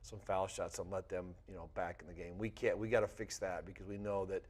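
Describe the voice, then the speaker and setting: A man's voice: low voice, level tone, a little fast, darkish tone, slightly rough. An American man, a coach, giving a plain-spoken casual interview. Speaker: basketball coach.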